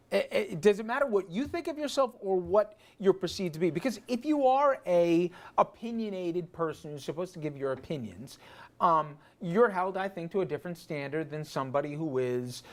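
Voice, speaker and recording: An American man hosting a casual panel discussion, speaking haltingly as he searches for his words. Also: high-pitched. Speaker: panel show host.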